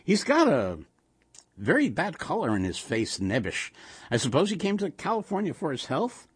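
A man's voice: on the high side, huge pitch swings, moderate pace, breathy edge, no echo, clean studio sound, pitched above the performer's own voice, heavily acted. A man reading a short story, voicing a nagging, easily nettled old immigrant wife with sing-song rhetorical questions and Yiddish-style phrasing. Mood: brisk, fussy. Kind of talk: short story read aloud.

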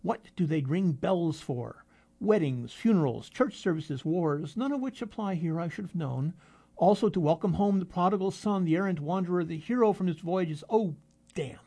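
A tipsy young man with a flair for the dramatic, rolling out lines of poetry as if on stage, as a narrator puts him on. His voice rides a little higher than the storyteller's own and swells louder as he declaims, with a mellow, warm tone. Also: lively intonation, normal speed, husky, exaggerated voice. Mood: agitated. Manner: grandiose, declaiming verse. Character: drunk, theatrical young man quoting poetry.

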